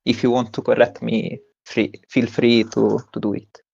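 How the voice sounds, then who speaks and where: A young man with an Italian accent, a tournament winner giving a halting interview with many fillers and restarts. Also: medium pitch, level tone, vocal fry, darkish tone, breathy edge, highs cut off. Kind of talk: post-win stream interview.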